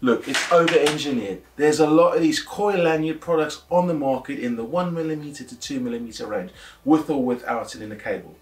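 A man's voice: fairly high pitch, brisk. A man in his forties presenting a scripted product review to camera, explaining things and posing his own questions. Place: indoor workshop studio. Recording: roomy, echoey indoor sound.

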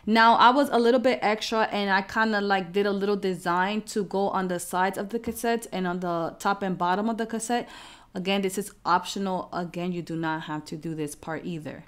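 A woman hosting a craft software tutorial, explaining each step in an informal, casual way. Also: medium pitch, a little fast, clean tone.

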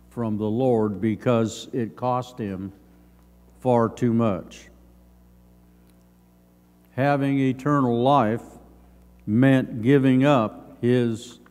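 An older man in his seventies giving a Sunday school Bible lesson, reading from notes in measured, halting short phrases. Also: medium pitch, a little mellow. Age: older, around 70s. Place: church sanctuary, at a lectern. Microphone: gooseneck lectern microphone. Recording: long hall reverb.

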